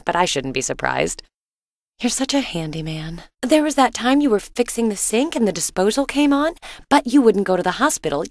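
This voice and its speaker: A woman's voice: on the high side, dry recording. An actress voicing a caring, exasperated wife and mother in a video game, speaking expressively and earnestly.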